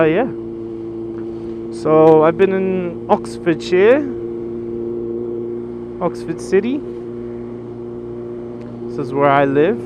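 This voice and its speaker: A man from Brazil in his twenties, chatting casually and rambling with fillers while he rides a motorcycle through city streets. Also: mid-range voice. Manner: rambling, loose, full of fillers and restarts. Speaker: motorcycle vlogger. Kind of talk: casual chat while riding. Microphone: action camera mounted on the motorcycle. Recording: muffled action-cam audio with loud road and engine noise.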